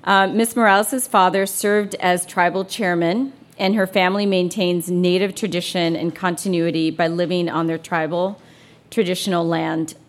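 Low-voiced woman moderating a panel. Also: fairly even intonation, moderate pace. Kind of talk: formal panel introductions and questions.

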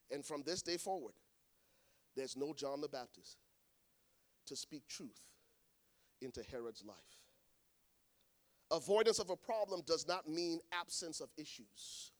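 A man preaching a sermon to a congregation, swinging between hushed lines and shouted ones. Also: a little high. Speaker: pastor. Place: church in front of a congregation.

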